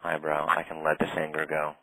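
A man guiding a tapping session in a calm, steady way, naming tapping points and saying short phrases for the other person to repeat. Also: rumbling low, low-quality recording. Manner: calm, slow, leads phrases for repetition. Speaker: tapping practitioner.

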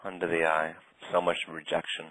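A man guiding a tapping session in a calm, steady way, naming tapping points and saying short phrases for the other person to repeat. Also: sits low, rough recording. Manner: calm, slow, leads phrases for repetition. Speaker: tapping practitioner.

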